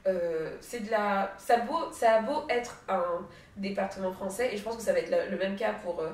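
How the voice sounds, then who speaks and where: A woman's voice: mid-range voice, moderate pace, darkish tone. A young woman from French Guiana talking casually in a conversation, chatty, with frequent hesitations. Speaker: association member in discussion. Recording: echoey room, distant mic.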